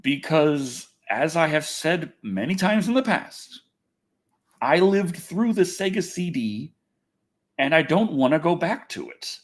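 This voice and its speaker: Animated, rambling man hosting a livestream. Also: on the high side, unhurried. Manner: rambling, animated, self-interrupting. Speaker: livestream host. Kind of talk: casual gaming and movie news livestream.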